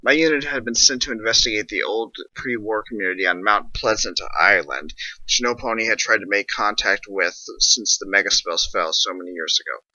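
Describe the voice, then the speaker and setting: A man narrates a scripted story with portentous drama. His voice is fairly low yet forceful, recorded dry with a slightly dull, lo-fi sound. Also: brisk, few pauses, no room sound.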